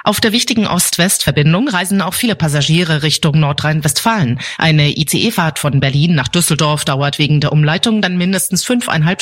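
A man delivering a scripted radio news report in a crisp, formal way. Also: on the high side, fairly bright tone, no room sound, studio quality. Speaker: news correspondent.

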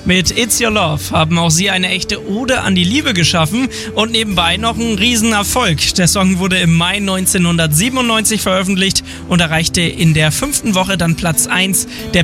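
A man's voice: sits high, normal speed. A man presenting a radio music list in a scripted style.

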